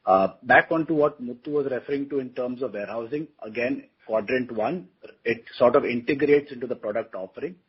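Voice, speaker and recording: A man speaking as a company executive, giving a business explanation on a conference call in a very rough, husky voice. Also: medium pitch, fairly quick. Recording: dull, lo-fi conference call audio.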